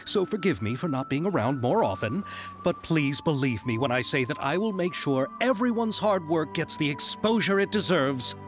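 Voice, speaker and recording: A man's voice: on the high side, expressive, moderate pace, over music, close to an everyday voice. An actor voicing an earnest, polite, apologetic young male producer in a video game. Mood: apologetic, friendly. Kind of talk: acted video game dialogue.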